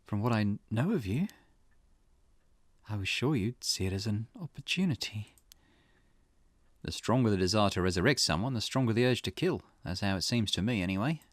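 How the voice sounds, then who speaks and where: A man's voice: lowish voice, expressive, moderate pace, pauses often, dry recording. A man voicing a dry, inquisitive, businesslike male detective in dialogue read from a visual novel. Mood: wry, concerned. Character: male private investigator, wry, inquisitive and businesslike.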